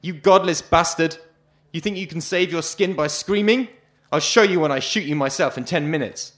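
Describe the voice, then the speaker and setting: A man's voice: a little high, moderate pace, fairly bright tone, pitched above the performer's own voice, lightly acted. A man reading a story aloud, voicing a brutal male prison guard who barks insults and threats. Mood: furious.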